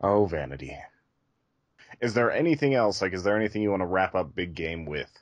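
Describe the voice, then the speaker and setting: A man's voice: sits low, expressive, fairly quick, no room sound, highs cut off. A man co-hosting a podcast, talking casually about films. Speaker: podcast co-host reviewing films. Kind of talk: casual film discussion.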